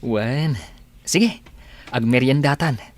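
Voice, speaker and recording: A man's voice: mid-range voice, takes it slowly, natural voice. A man playing a generous, coaxing, friendly villager with an Ilocano accent in a radio drama. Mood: kind, coaxing.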